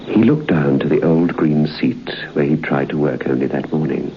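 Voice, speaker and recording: An actor playing the narrator of an old-time radio ghost story, telling it in a measured, suspenseful way. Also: male voice, very deep voice, fairly even intonation. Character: storyteller recounting a friend's eerie experience. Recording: muffled old radio broadcast, faint noise.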